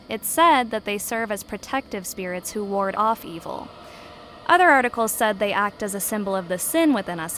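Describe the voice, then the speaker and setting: A woman's voice: mid-range voice, a bit bright, slight background noise, lightly acted. Woman as a bookish, matter-of-fact young student. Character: bookish young female art history student. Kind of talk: natural audio drama dialogue. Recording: faint ambience behind.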